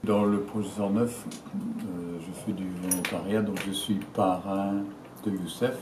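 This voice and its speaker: A retired man in his sixties from Belgium, a volunteer mentor, answering interview questions in a measured way with frequent fillers. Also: low-pitched, steady tone. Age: 60s. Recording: roomy, from a distance, noisy.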